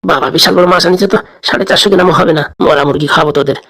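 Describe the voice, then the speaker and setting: Woman doing a fast, grumbling old village man. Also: lowish voice, a bit bright, no echo, studio quality, voice pushed down. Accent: Pabna Bengali. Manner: grumbling, teasing. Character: gruff, greedy old village father-in-law. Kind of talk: rural comedy cartoon skit.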